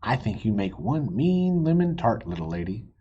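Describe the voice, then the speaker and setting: A man voicing a gruff, folksy, plainspoken cowboy type in a children's storybook. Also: fairly low pitch, big pitch swings, normal speed, warm tone, dull audio. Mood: gruffly consoling.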